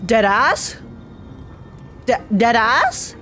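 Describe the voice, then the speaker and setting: Excitable woman reacting to a game. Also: a little high, drawn-out words, soft background music. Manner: excitable, repeats phrases for emphasis, slangy. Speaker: gaming streamer. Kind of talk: casual game reaction commentary.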